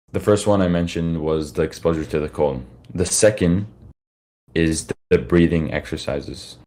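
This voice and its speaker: Man, very low pitch, worn-out voice; loose, filler-heavy live lecturer. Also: a little mellow. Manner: casual, rambling, many fillers and restarts. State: tired, worn-out voice. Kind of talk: informal live lecture to listeners.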